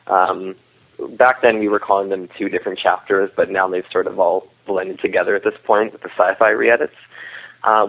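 A man talking casually as an interview guest, a web series creator explaining his work. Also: on the low side. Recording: tinny phone-like line.

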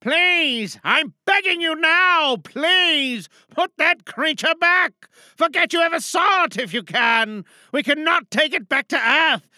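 A stern female space captain played by an amateur actress, gripped by paranoia. Her high voice is piercing and forceful as she commands and pleads in slow, weighty phrases, like a desperate leader in an audio drama monologue. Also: dry recording, studio quality. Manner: pleading, commanding, theatrical outbursts.

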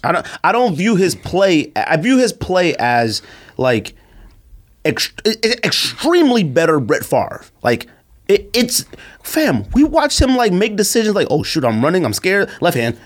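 A man co-hosting a sports podcast, arguing casually in an animated, slangy way. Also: high voice, a little slow. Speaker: sports and sneaker podcast co-host.

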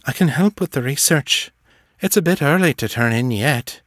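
A man voicing a tired, kind, quietly hopeful young woman in an audiobook, in a soft-spoken, plain manner. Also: mid-range voice, animated, normal speed, dry recording, studio quality. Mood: gently hopeful. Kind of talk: audiobook character voice.

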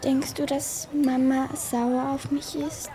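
A woman's voice: sits high, little pitch movement, takes it slowly, heavy background noise. A young female performer playing an earnest, worried girl sleuth in a children's radio play. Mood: upset.

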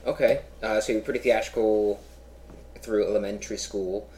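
A low-pitched man hosts a casual interview. He lets the guest talk and steers with easy follow-up questions, dropping "yeah" and "uh" along the way. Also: steady tone, normal speed, dark tone, a little hiss or hum, poor audio. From Philadelphia. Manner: easygoing, prompting questions, frequent "yeah". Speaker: podcast host.

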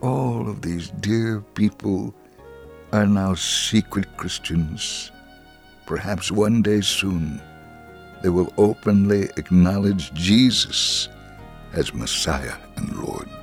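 A man giving an expressive, measured scripted reading of a testimony story for religious radio. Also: fairly low pitch, vocal fry, soft background music.

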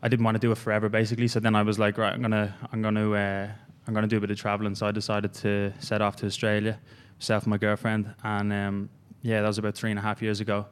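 A young man with an Irish accent, a gym coach, telling his story in a halting, rambling way with many fillers in a casual interview. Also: fairly low pitch, completely flat delivery, smooth. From Ireland.

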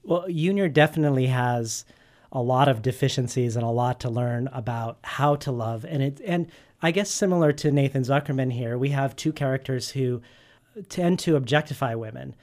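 A man's voice: mid-range voice, moderate pace, a little mellow. A man interviewing an author, asking long prepared questions in an earnest way with frequent restarts.

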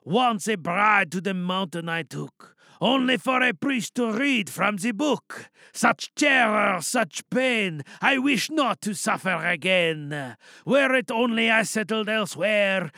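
A man performs an ancient, brooding troll of the mountain in an audio drama. The voice is high and gravelly, very bright and forceful. It declaims its rhymes with grand, wounded menace. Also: expressive, no room sound, clean studio sound, exaggerated voice. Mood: brooding, aggrieved. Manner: declamatory rhymed verse, drawn-out words. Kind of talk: theatrical audio drama monologue.

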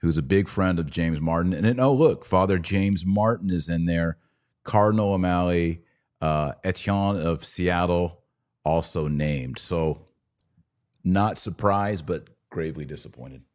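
A man's voice: low-pitched, measured pace, a little mellow. An American man hosting a podcast, giving conversational religious commentary with a sardonic edge. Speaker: podcast host.

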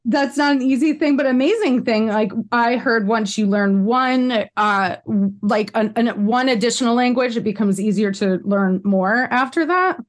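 A woman's voice: fairly high pitch, normal speed, few pauses. Chatty American woman hosting a podcast. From United States. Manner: chatty, rambling side notes, frequent laughs. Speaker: podcast host. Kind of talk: casual interview chat.

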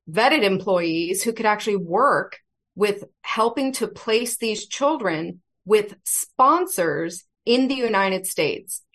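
Earnest woman guest, measured speech. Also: medium pitch, a bit bright. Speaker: guest, federal whistleblower. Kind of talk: interview answers.

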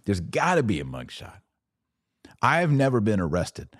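A low-pitched American man hosts a live commentary podcast in a casual, conversational way. He stretches key phrases for emphasis and likes to pose rhetorical questions. The recording is dry, with very little room sound. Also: wildly swooping pitch, moderate pace, fairly bright tone, dry recording. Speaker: podcast host.

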